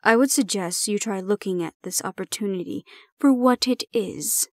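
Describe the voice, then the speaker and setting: A woman's voice: mid-range voice, normal speed, no echo, lightly acted. A woman plays a composed female official in an audio drama, close and dry. She speaks formally and diplomatically, measuring out each phrase as someone in charge of difficult charges. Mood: composed, reassuring. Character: calm, formal female supervisor handing over a hybrid prisoner. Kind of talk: audio roleplay acting.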